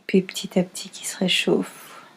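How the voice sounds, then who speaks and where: A woman leading a guided yoga nidra relaxation as instructor, soothing and unhurried, speaking in short phrases with pauses. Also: fairly low pitch, monotone, gravelly, husky.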